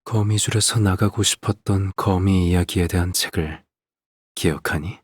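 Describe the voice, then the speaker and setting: An actor playing a possessive, hollow-hearted father in a game, with a breathy, hushed voice and an eerily calm, measured delivery. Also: sits low, no room sound, clean studio sound. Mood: storytelling calm. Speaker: game character voice. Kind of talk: dramatic game voice acting, slow monologue.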